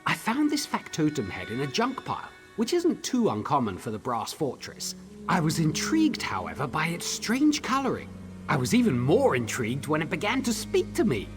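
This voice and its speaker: Casting: enthusiastic fantasy-game inventor, higher register, theatrical and articulate, music underneath. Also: male voice, wildly swooping pitch, moderate pace. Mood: eager. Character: eager male tinkerer-scholar, enthusiastic about his research. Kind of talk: fantasy video game character dialogue.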